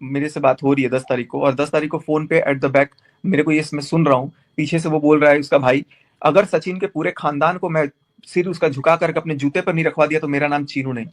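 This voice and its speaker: A man's voice: on the high side, steady tone, fairly quick. A man speaking insistently in a heated, casual discussion, repeating his phrases to make his point. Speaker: YouTuber in a group call. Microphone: group phone call.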